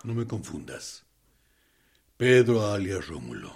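A man's voice: low voice, sing-song, takes it slowly, creaky, a little mellow, slightly rough, exaggerated voice. An actor playing a cruel male military interrogator in a radio drama, barking orders with contempt. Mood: impatient. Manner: commanding, contemptuous.

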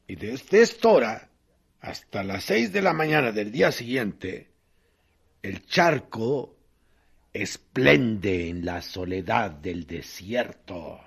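A man narrating a radio drama in a solemn, deliberate literary style with dramatic pauses. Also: on the low side, sing-song, vocal fry, breathy edge.

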